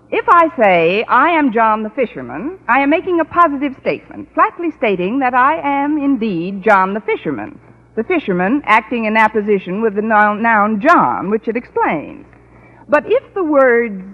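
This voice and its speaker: An actress playing a wry, articulate English teacher with precise diction, in old-time radio comedy style. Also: female voice, on the high side. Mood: mock solemn. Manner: crisp schoolmarm diction, dry wit. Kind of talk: 1940s-50s radio sitcom acting. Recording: muffled old radio recording.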